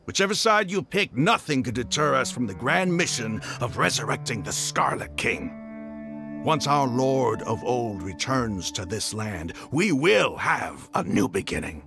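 An actor playing a proud, hostile desert mercenary leader and fervent believer in a video game, with a gravelly, defiant delivery. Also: male voice, medium pitch, very animated, a little slow. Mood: proud conviction. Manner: defiant, theatrical, sneering. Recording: music under the voice.

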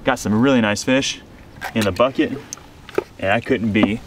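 A man in his thirties filming himself fishing from a small boat in a swamp, chatting excitedly, laughing and repeating himself as fish bite. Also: fairly low pitch, moderate pace, background noise. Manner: excitable, laughs often, repeats words when hooked up. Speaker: fishing vlogger. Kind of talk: excited outdoor fishing commentary. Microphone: action camera mounted on the boat.

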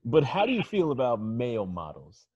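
A man's voice: medium pitch, wildly swooping pitch, normal speed, crowd noise. A man playing a laid-back, nosy, dim young male model with slangy speech in a comedy table read. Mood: laid-back, probing. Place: at home on a video call. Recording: muffled video call audio.

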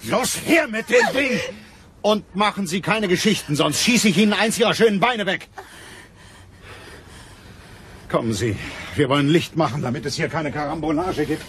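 An actor playing a curt, mocking private detective in a crime radio drama, speaking with a dry manner. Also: male voice, a little high, lively intonation, brisk, a bit bright, a little hiss or hum. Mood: commanding. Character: hard-boiled, sardonic male private detective. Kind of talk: German crime radio drama acting and narration.

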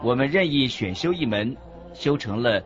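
A man playing an earnest Buddhist master who teaches in a measured, didactic way in a scripted radio drama. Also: mid-range voice, animated, lightly acted. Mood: earnest, instructive. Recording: dull lo-fi audio with background music. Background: music.

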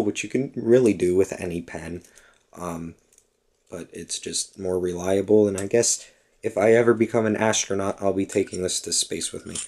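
A young man casually showing pens on a desk at home, rambling and correcting himself, slightly hoarse. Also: sits low. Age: young adult. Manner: rambling and self-correcting, lots of ums. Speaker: vlogger. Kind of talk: casual show-and-tell talk.

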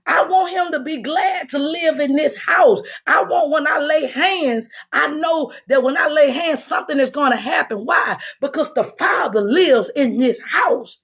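A middle-aged woman preacher giving a fervent, emphatic livestreamed deliverance sermon in a husky voice. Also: sits high, a little slow, few pauses, fairly bright tone. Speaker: preacher, self-styled apostle leading prayer. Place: room in a house, indoors.

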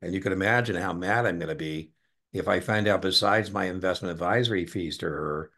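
A middle-aged American man with a gravelly voice tutoring one-on-one over a video call, informal, encouraging and given to tangents. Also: sits low, a little fast, pushed and bright. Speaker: exam tutor. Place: indoor room on a video call.

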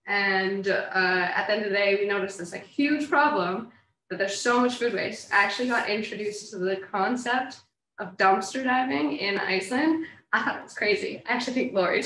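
A woman's voice: mid-range voice, a bit bright, a little hiss or hum. A young woman from Canada, a co-founder giving a casual, chatty talk to students. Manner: chatty, laughs often. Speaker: guest speaker, co-founder. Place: university classroom. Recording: echoey classroom, mic from afar.

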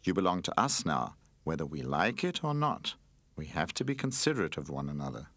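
A narrator voicing a caring, sensible cushion toy in a children's story, steady and admonishing. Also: rumbling low, brisk, no echo, voice pushed down, full character voice.

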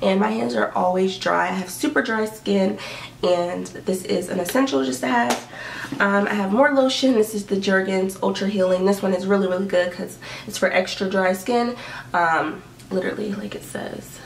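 A young woman talks to her camera from her bedroom, upbeat and rambling with plenty of ums. Her voice has a gravelly fry and sounds stuffy from allergies. The small room echoes noticeably, and the mic sits a bit away from her. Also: medium pitch, a little hiss or hum. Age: young adult. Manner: chatty, upbeat, lots of likes and ums. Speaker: beauty and fashion vlogger. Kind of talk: casual what's-in-my-bag chat. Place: bedroom indoors. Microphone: camera in front at desk distance. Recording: roomy bedroom echo, mic a bit far.

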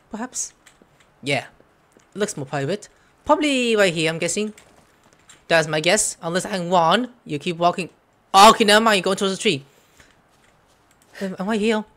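A young man doing casual game commentary on a close desk mic, reacting and thinking out loud. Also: high voice, normal speed, long pauses. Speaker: gameplay streamer. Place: small room at home. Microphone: close desk microphone. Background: game music.

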